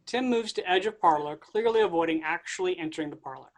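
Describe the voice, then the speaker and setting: A man reading stage directions aloud in a staged play reading, steady and plain. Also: high voice, fast.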